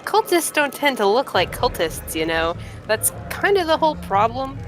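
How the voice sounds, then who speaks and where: A woman's voice: sits high, very animated, moderate pace. A woman voicing a tough, wry female city scout in a video game, with a folksy, sarcastic, slangy way of talking. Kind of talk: reading video game dialogue aloud. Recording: loud music under the voice.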